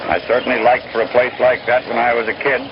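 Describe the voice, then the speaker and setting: A man's voice: mid-range voice, little pitch movement, quick pace. A noisy, dull vintage radio recording of an American man doing baseball commentary. He has a mid-range voice and talks through the play in a folksy, conversational manner. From United States. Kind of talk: old-time radio sports play-by-play commentary. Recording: noisy, muffled 1930s-1940s radio recording.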